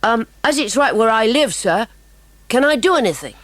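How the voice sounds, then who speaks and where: A woman's voice: a little high, lively intonation, normal speed, a bit bright, dry recording. Woman acting a confident, well-spoken English schoolboy detective. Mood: eager, polite. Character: confident, polite, eager schoolboy amateur detective. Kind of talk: dramatised children's audiobook acting.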